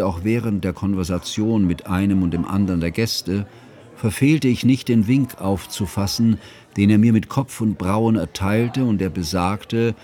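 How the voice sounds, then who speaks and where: A man playing an earnest, fussy scholarly friend, narrating a literary radio drama in a measured, formal way. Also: fairly low pitch, dark tone, lightly acted.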